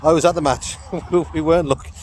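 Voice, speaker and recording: A middle-aged man with a croaky throat, chatting casually on a walk with his dog in a rambling vlog. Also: fairly high pitch, fairly even intonation, fast talker, slight background noise. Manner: rambling, chatty, lots of fillers and laughs. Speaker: vlogger.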